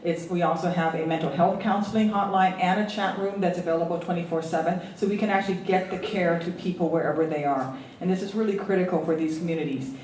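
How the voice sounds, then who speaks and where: A woman's voice: fairly low pitch, fairly even intonation, a little mellow, far from the mic, slight background noise. Woman with a slight Hawaiian accent, echoey hall. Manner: earnest, halting, many ums and restarts. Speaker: federal agency official on a panel. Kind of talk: panel remarks. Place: event hall at a symposium.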